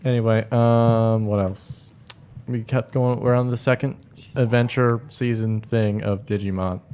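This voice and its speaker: A man's voice: medium pitch, fairly even intonation, a little slow, a little mellow, clear, resonant tone. A man talking casually with a group as a podcast panelist. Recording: noticeable background noise.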